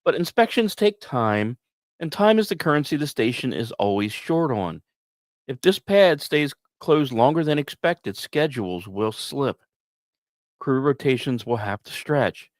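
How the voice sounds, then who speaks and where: A man's voice: mid-range voice, lively intonation, unhurried, no room sound, clean studio sound. A man hosting a podcast, reading scripted space news like a dramatic story with conversational asides. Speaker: podcast host.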